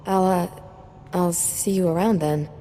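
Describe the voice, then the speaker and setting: A woman's voice: lowish voice, fairly even intonation, a little slow, dark tone, in a large hall, slight background noise, natural voice, low-quality recording. A woman playing a confident, wry young special forces sergeant in a video game, casual and playful. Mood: friendly, businesslike. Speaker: soldier giving mission briefing. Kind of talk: scripted game dialogue.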